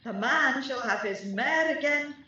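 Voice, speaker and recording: A woman's voice: sits high, lively intonation, measured pace, bright, forceful tone, exaggerated voice. A woman acting a mischievous, taunting fairy sprite in a Shakespearean radio play, speaking sing-song rhymed verse. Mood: sly incantation. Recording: roomy, echoing, distant, slightly dull.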